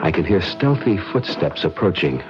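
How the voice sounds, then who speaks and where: Low-voiced American mystery narrator, music behind. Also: male voice, sing-song, normal speed. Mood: suspenseful. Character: determined, wary American visitor narrating his mystery. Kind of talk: old-time radio drama acting and first-person narration. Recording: muffled old radio recording, music behind.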